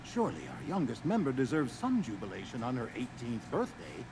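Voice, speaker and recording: A dignified adult male mutant from a cartoon, gravelly and very expressive. His phrasing is formal and wry, with faint noise underneath. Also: mid-range voice, brisk, rough recording.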